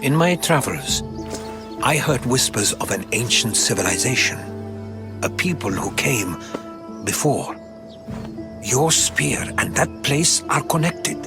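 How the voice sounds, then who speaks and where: A learned, secretive older Greek traveller and historian for a video game. He talks like a measured storyteller at a middling male pitch. Music plays clearly behind him. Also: expressive. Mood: solemn, reflective. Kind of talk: dramatic video game dialogue.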